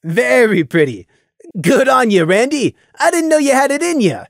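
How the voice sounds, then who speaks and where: A storyteller voicing a rowdy young pony stallion who loudly teases his friend. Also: mid-range voice, very animated, normal speed, dry recording, studio quality, voice pushed up. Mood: teasing. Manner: boisterous, teasing, pushy.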